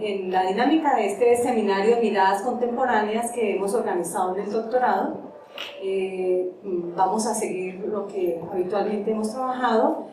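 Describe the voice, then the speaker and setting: A woman's voice: medium pitch, dark tone. A woman who organizes the seminar, introducing the guest speaker formally and hesitantly, with many fillers. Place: university lecture hall. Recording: very echoey hall, distant mic, faint noise.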